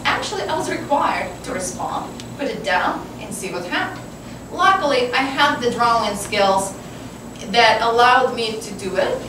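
A woman with a Ukrainian accent talking informally as a painter on a panel, telling her story with asides and questions to herself, recorded from afar in a noisy, echoing hall. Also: a little high. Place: large wooden hall with seated audience. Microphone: far camera. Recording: distant, very echoey, noisy room recording.

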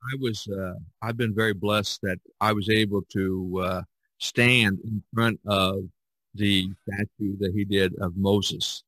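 A man hosting a podcast, talking casually about art in a rambling, halting way with frequent restarts. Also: lowish voice, steady tone, fairly bright tone, low-quality recording. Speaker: podcast host discussing art. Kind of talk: casual conversational discussion.

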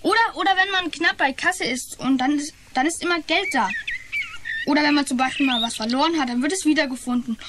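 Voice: sits high, a little fast, natural voice. A performer playing a naive, secretive schoolboy with a hesitant manner in a children's radio play. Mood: secretive, eager. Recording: noisy radio play with birdsong.

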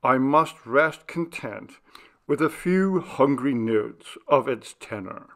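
Slow, measured male audiobook narrator. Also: medium pitch, lively intonation, fairly bright tone. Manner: measured, formal storytelling. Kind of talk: scripted literary narration.

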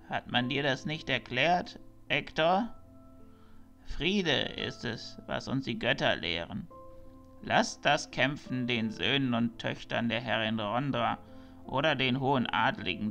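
A pious temple mentor, acted by a man in a fantasy audio drama. He speaks very deliberately and patiently, with a bright, creaky edge, in measured admonishing tones over music. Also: medium pitch, exaggerated voice.

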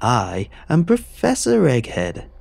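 A man voicing a pompous, shrieking egg-shaped creature who makes booming, formal demands. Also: wildly swooping pitch, slow, gravelly, a little mellow, dry recording, heavily acted. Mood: booming demanding.

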